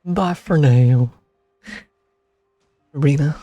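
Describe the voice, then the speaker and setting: A man's voice: a little high, drawn-out words, mellow, no echo, dull audio, faint music behind. A man giving casual reaction commentary over a game in brief asides, close on a streaming mic.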